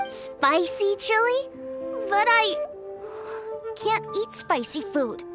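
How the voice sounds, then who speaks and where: A woman's voice: very high-pitched, big pitch swings, slow, over music, heavily acted. A woman voicing a small, innocent, fussy little boy in a video game, earnest and childlike. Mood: fussy, pouting. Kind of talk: animated video game voice acting.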